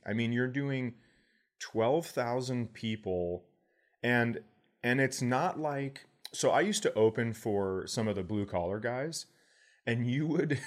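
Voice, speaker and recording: A middle-aged American man chatting casually and telling stories as a podcast host, in an informal, profane way. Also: lowish voice. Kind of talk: casual chat, storytelling.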